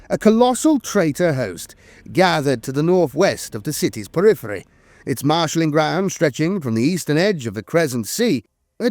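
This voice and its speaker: A man reading dramatic scripted lore narration in a theatrical, deliberate way with weighty emphasis. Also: on the high side, a little mellow, dry recording. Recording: music bed behind a dry voice. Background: music.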